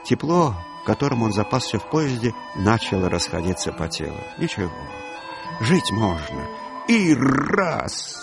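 A man narrating a literary radio play in an expressive storytelling manner, with music behind him. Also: on the low side, unhurried, vocal fry.

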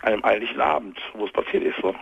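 A man calling a phone-in show, hoarse from a cold, speaking haltingly with many fillers. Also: fairly low pitch, no room sound. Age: 40s. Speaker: caller. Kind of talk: phone-in call. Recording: muffled phone line.

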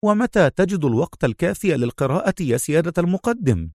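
A man as high-pitched, strained injured comrade. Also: big pitch swings, fast talker, a little mellow, no echo, studio quality. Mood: curious, surprised. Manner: strained, protesting. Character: injured male comrade, anxious, self-doubting, deferential.

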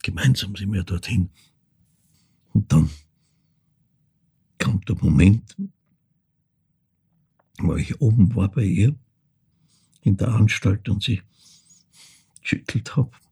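An actor playing a haunted older man with an Austrian accent, telling of his mother in a halting, intimate theatrical monologue with a husky, creaky voice. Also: fairly low pitch, mellow, heavily acted. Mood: agitated remembering. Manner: halting, brooding, shifting into whispers.

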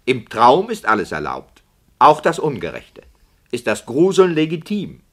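A self-important director who argues with rhetorical flourish and dry irony. The mid-pitched voice rises and falls widely. It sounds like theatrical 1960s radio drama. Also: male voice, wildly swooping pitch, unhurried, full character voice. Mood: indignant. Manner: rhetorical, sardonic. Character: indignant, argumentative radio play director, ironic and pompous.

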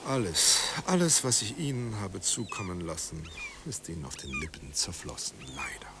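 An actor playing a noble, weary exiled writer in a radio drama, melancholy and devoted, speaking gravely and with measured resignation. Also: male voice, sits low, huge pitch swings, full character voice. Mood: wounded. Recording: clear background ambience noise.

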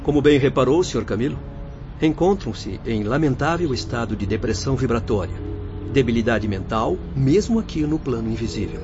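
A severe, formal male spirit guide voiced by an actor in a radio play. He teaches in a mid-pitched, didactic voice. The dull recording has clear music behind it. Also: animated, moderate pace, close to an everyday voice. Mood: severe, grave. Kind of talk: radio drama acting. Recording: dull lo-fi audio under music.

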